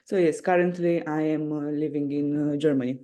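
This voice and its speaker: A woman's voice: deep voice, fairly even intonation, normal speed, warm tone. A woman in her twenties from Romania with a Romanian accent, answering interview questions casually and hesitantly, searching for words in her non-native English. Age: 20s. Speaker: podcast guest.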